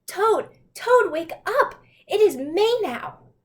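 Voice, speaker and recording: A woman's voice: very high-pitched, very animated, slow, pitched above the performer's own voice, heavily acted. A woman voicing an eager, cheerful male frog who coaxes and calls out in a children's storybook. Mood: urgent, bright. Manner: calls out loudly and brightly, coaxing. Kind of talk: children's storybook read-aloud.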